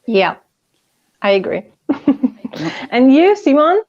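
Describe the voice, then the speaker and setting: A woman's voice: sits high, sing-song, very slow, darkish tone. A woman whose first language is Turkish, hosting a casual online chat and laughing often. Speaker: host. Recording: dull lo-fi sound.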